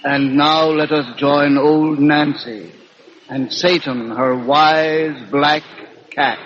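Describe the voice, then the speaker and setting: A man announcing a scripted old-time radio drama in a formal, measured manner. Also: a little high, steady tone, a crowd in the background. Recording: muffled old radio recording with music.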